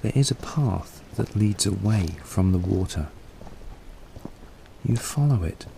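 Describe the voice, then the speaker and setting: A man narrating a scripted guided meditation in a calm, unhurried, soothing way. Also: fairly low pitch, vocal fry, a little hiss or hum. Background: soft background music.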